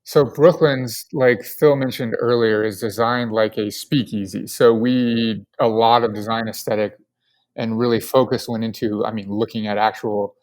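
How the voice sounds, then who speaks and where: A man's voice: lowish voice, steady tone, normal speed, a little mellow, poor audio. A man from Colorado, the guest co-founder, answering questions casually and with enthusiasm, with lots of filler words. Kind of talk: casual podcast interview.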